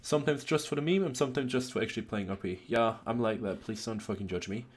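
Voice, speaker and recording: A man's voice: mid-range voice, fast talker. A man from Germany hosting a casual online podcast, rambling, swearing freely and chuckling with his friends. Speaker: podcast host.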